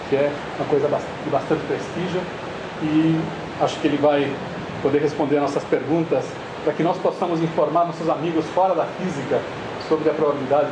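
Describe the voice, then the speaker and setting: A man with a slight Argentine accent chairing a colloquium session, introducing the speaker in a joking, chatty manner. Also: a little high. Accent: Argentine Spanish. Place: lecture hall. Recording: echoey noisy lecture hall.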